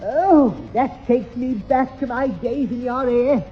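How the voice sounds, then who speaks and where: A man playing a meek, naive and very polite bachelor gentleman who stammers hesitantly, in a 1960s radio sitcom. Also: extremely high pitch, unhurried. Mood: bashful. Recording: echoey dull old radio recording, faint music.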